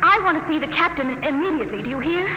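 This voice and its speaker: A woman's voice: sits high, expressive, fast talker. An actress playing an earnest, determined young woman traveler in old radio drama style. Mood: indignant, pleading. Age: young adult. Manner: earnest, insistent. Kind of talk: 1950s radio drama acting. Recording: very noisy vintage radio broadcast.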